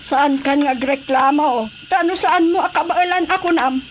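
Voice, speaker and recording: A woman's voice: sits high, normal speed, slight background noise, lightly acted. A woman with an Ilocano accent playing a bossy, amorous rebel commander who teases and threatens, in radio drama comedy style. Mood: bossy, teasing.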